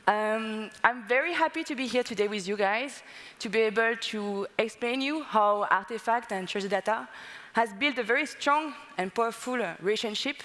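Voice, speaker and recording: French-accented woman in her 30s, stage presenter, mid-pitched, boomy hall sound. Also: fairly bright tone. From France. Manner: fluent but slightly non-native phrasing, many "uh" fillers. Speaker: conference speaker. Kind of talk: conference presentation. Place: large conference hall on stage.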